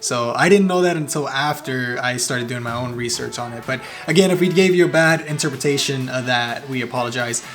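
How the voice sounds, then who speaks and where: A man's voice: mid-range voice, fairly quick, hardly any pauses, a bit bright. A young man with a Spanish accent, Spanish his first language, talking casually as a travel vlogger, full of fillers and upbeat asides. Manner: chatty, filler-heavy, upbeat. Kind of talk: casual vlog narration. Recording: music playing over the voice.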